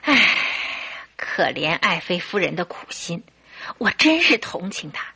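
An actress playing Mrs. Hudson, a sympathetic and curious housekeeper, in an exclamatory old-fashioned radio drama style. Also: female voice, on the low side, unhurried, vocal fry, breathy edge, full character voice. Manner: exclamatory, expressive.

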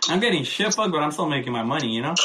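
A man hosting a casual interview, firing off quick joking questions with casual swearing. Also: a little high, sing-song, very noisy. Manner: rapid, joking questions, profane.

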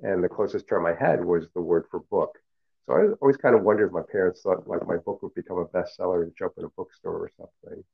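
Middle-aged man, professor, Cantonese first language, low gravelly voice, thin phone-like sound. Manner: measured, reflective, many fillers and restarts. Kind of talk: interview answers.

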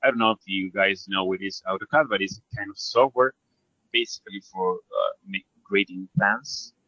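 An Argentine man, native in Spanish, talks in a fairly low voice with an Argentine accent. He is a casual, self-effacing interview guest who hesitates as he searches for words. The sound is dry and slightly dull. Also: moderate pace, bright, forceful tone, dry recording. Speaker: interview guest, 3D character artist. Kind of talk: casual conversational storytelling.

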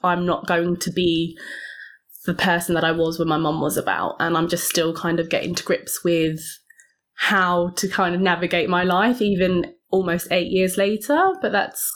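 Hesitant woman, lowish voice. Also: fairly even intonation. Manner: soft-spoken, hesitant, many pauses and fillers. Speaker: podcast guest. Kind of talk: reflective personal interview.

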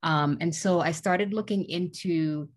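Woman in her forties, from Guyana, very low voice, chatty, lots of ums. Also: a little slow. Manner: chatty, many "um" fillers, laughs easily. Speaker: guest, small business owner. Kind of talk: casual interview.

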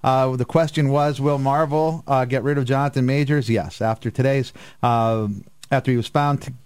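An American man works as a radio host, chatting in a studio. His voice sits at an average male pitch, and he talks in the casual style of a live show. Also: fairly even intonation, moderate pace, darkish tone, smooth, dry recording.

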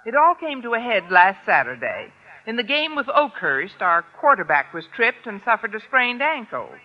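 A woman's voice: a little high, sing-song, normal speed. An American actress playing a wry, sardonic single woman teacher with dry wisecracking delivery in an old radio sitcom. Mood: dry banter. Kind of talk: 1940s-50s radio sitcom acting. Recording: dull, noisy old radio recording.

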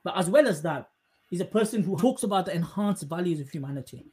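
A man with a fairly high voice speaks as a podcast host. He is effusive and emphatic, taking his time with wordy, conversational questions and remarks. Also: expressive, fast, darkish tone. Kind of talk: conversational interviewing.

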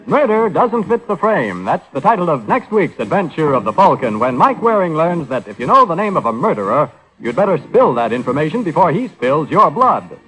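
A man's voice: fairly high pitch, big pitch swings, a little fast, fairly bright tone, rough recording. A man announcing emphatically and theatrically in the dramatic style of 1940s radio. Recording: vintage radio recording, music behind.

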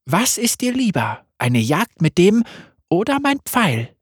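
A man voicing a gentle, eerie female death spirit, speaking softly and calmly in a light, breathy tone. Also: high voice, slow, no room sound, clean studio sound, pitched above the performer's own voice, heavily acted. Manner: soft, lilting, calmly consoling.

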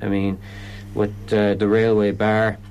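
A man's voice: on the low side, monotone, measured pace, a little mellow, slight background noise, rough recording. An Irish man explaining his comedy event in a radio interview, often stopping and restarting sentences. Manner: hesitant, lots of restarts.

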